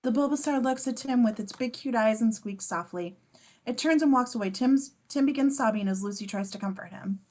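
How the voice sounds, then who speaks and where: A woman's voice: on the high side, a little fast, a little mellow. A woman reading scene descriptions as narrator in a table read of a script.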